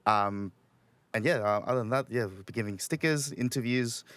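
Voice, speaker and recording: A man's voice: mid-range voice, normal speed, fairly bright tone, smooth, no echo. An Australian man chatting casually on a podcast, rambling along in a slangy, laid-back way.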